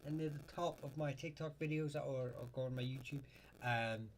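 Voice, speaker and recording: Halting man with many ums. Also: mid-range voice, a little hiss or hum. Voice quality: halting, lots of ums and uhs. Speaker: host answering viewer questions. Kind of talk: casual live Q&A chat.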